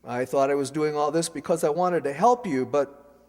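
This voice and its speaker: An actor playing an earnest, flustered young son in love, emphatic and pleading, in a radio comedy. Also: male voice, fairly high pitch, normal speed, darkish tone, close to an everyday voice. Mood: pleading, tender. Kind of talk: old-time radio comedy acting. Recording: reverberant hall, stage radio play.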